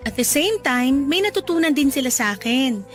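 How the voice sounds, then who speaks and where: High-voiced, friendly young Filipino woman. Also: animated, moderate pace. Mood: friendly, encouraging. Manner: playful, chatty and encouraging. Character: friendly, encouraging, outgoing young woman volunteer, a self-described introvert. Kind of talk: Tagalog radio drama acting, conversational. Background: background music.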